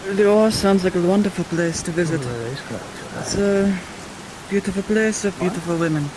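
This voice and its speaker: A man playing a languid, dryly courteous adventurer in improvised fantasy roleplay, speaking in a measured way. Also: sits high, fairly even intonation, close to an everyday voice. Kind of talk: improvised in-character roleplay banter. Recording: hissy, rain noise behind.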